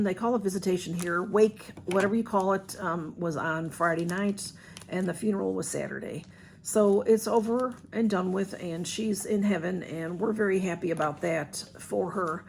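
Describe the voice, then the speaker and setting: A woman's voice: mid-range voice, moderate pace, gravelly, slight background noise. A woman with a Southern US accent talking casually to camera, a little nasal and stuffy, rambling and heartfelt. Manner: rambling, heartfelt, soft-spoken. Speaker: vlogger.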